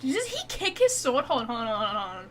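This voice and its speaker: A woman doing casual, reactive gameplay commentary as a streamer, blunt and excitable. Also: on the high side, fast, soft background music. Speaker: game streamer.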